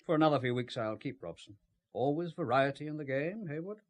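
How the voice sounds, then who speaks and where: An actor playing a cagey, ruthless British spymaster in an audio drama, with formal, understated speech and a husky voice. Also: male voice, a little high, expressive, fairly quick, lightly acted. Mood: wry.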